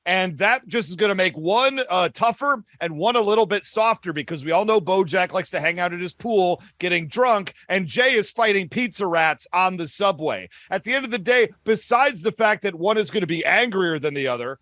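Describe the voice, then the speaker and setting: A man with a high, bright voice makes a comic case as a debate contestant, recorded close and dry. He builds his points through repetition and little asides. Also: expressive, normal speed, hardly any pauses, no room sound. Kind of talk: comic improvised argument.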